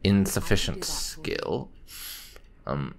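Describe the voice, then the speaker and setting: Creaky, slow-talking man doing game commentary. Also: sits low, animated, a crowd in the background. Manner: rambling, self-correcting, musing to himself. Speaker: gaming video host. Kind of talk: casual let's play commentary.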